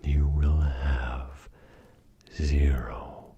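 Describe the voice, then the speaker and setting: A man playing a grumpy, wise fortune teller in an ASMR role play, whispering in a slow, theatrical, formal way. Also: a bass voice, fairly even intonation, husky, lo-fi sound, exaggerated voice. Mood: solemn, soothing. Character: grumpy wise male arcade fortune teller, Great Tingdinee. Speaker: ASMR performer.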